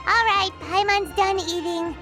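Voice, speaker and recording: Tiny bubbly game fairy: extremely high, animated, childlike, excitable, music behind. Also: female voice, full character voice. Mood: chatty. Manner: refers to self in third person, excitable. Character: tiny childlike floating fairy companion, bubbly, greedy eater. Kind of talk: video game voice acting.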